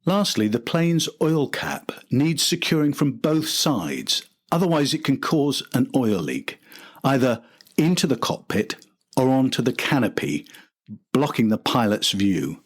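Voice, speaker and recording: A man's voice: medium pitch, lively intonation, measured pace, husky. An older man narrating a documentary from a script.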